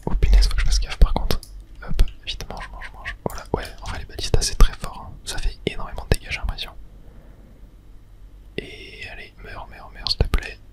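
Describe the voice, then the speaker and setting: A person whispering casual ASMR game commentary, chatting and thinking aloud in a soft, breathy, unvoiced whisper. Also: moderate pace. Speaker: gaming video host.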